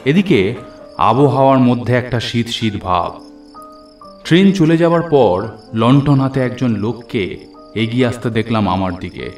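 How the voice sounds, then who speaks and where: A man narrates a horror tale in the first person at a middling pitch. His voice is echoey and slightly distant, with faint music underneath. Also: lively intonation, measured pace, pauses often, darkish tone. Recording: echoey, off the mic, music behind.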